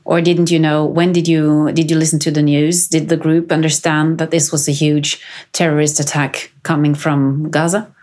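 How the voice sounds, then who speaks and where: A woman interviewer puts long questions to her guest. Her voice sits low and stays flat in tone. She hesitates often, with many fillers. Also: moderate pace. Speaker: interviewer, videocast host.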